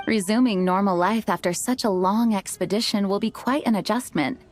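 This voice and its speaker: An actress playing an earnest, modest young knight commander in an anime game, speaking formally and politely. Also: female voice, mid-range voice, normal speed, close to an everyday voice. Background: background music.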